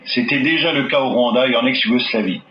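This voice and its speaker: A man giving measured, formal documentary narration. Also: mid-range voice, background noise. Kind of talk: scripted narration. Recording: dull roomy archive audio.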